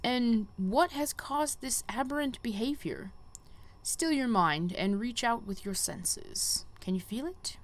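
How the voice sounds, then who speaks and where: A woman voicing a solemn, wise guildmaster in a fantasy game, reading in a formal, measured, old-fashioned way. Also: a little high, animated, pitched above the performer's own voice. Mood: solemn, questioning. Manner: formal, measured, archaic phrasing. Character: solemn, wise conjurer guildmaster giving a quest. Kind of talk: reading game quest dialogue aloud.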